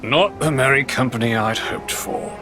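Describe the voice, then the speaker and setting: An actor playing a sly, evasive pirate captain in a video game, with a mannered, wordy delivery. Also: male voice, mid-range voice, normal speed, sharp tone, lightly acted. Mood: wry. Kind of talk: video game cutscene acting.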